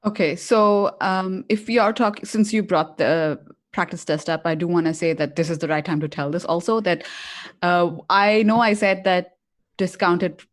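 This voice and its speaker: Female host, low-pitched for a woman, upbeat and chatty, on a live stream. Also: fairly even intonation, moderate pace. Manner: chatty, upbeat, filler-heavy.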